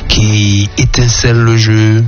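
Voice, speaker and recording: A man's voice: lowish voice, level tone, takes it slowly. A man hosting a conversational interview in a radio style. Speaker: host. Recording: dull lo-fi sound, faint music. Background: faint music.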